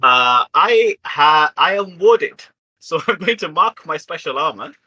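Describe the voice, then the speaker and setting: A man's voice: a little high, lively intonation, a little slow, sharp tone, poor audio. A man playing a tabletop roleplaying game, talking casually and reasoning aloud as he goes.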